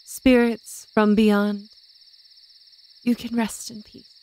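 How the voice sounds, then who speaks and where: A woman playing a wise, dignified witch who speaks in formal, measured phrases in an audio drama. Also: on the high side, flat delivery, mellow. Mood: solemn. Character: wise, dignified elder-sisterly witch lady.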